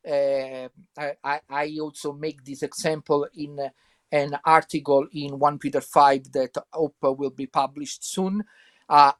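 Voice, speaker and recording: A man from Italy with an Italian accent, speaking English as a second language and answering interview questions haltingly, with many repeats and fillers. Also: on the high side, no echo. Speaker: guest author.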